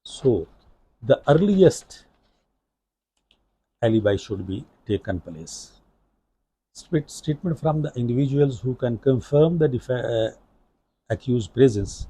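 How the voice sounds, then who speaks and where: Male law lecturer, Pakistani accent, mellow and husky, formal delivery with halting phrasing. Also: mid-range voice, no echo, poor audio. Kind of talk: legal lecture, explanatory.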